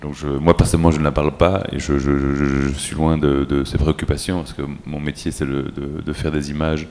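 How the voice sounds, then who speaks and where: Image-maker on panel, extremely deep voice, stammering restarts, hall reverb. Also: male voice, little pitch movement, a little mellow, a little hiss or hum. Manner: stammering restarts, then measured. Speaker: photographer and filmmaker on a panel. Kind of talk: answer at a public conference. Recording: echoey hall.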